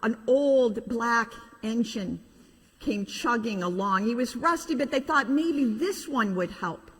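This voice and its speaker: A middle-aged woman, a pastor telling a children's story in an animated way with informal asides. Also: a little high, takes it slowly, breathy edge. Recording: reverberant hall.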